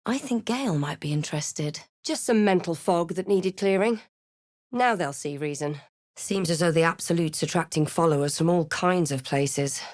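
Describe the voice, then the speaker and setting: A woman playing a wry, wary spellcasting adventurer in a video game, speaking in a measured, dramatic and dry manner. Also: lowish voice, a little mellow, dry recording, close to an everyday voice. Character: snarky adventurer heroine, wary, wry, spellcasting fantasy protagonist. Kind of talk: video game voice lines, remarks and first-person narration.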